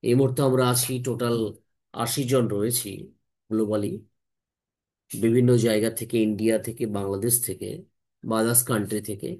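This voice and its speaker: A man's voice: lowish voice, little pitch movement, fairly quick, long pauses, darkish tone. Man hosting an online team briefing. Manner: repetitive, with frequent tag questions and English words mixed in. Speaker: host of an online team meeting.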